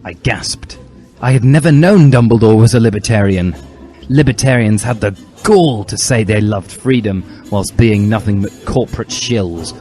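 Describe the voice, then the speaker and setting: A man with a lowish voice reads a comic story as dramatic narration. He gives it solemn, overwrought emphasis, and music plays clearly behind him. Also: a little mellow. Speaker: narrator, reader.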